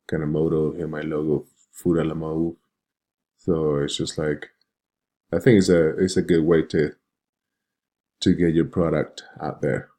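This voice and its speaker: A man from Venezuela giving an informal, unscripted screen-share presentation as a student, speaking in a hesitant, halting way. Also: very deep voice, dark tone. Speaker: web design student presenting a project.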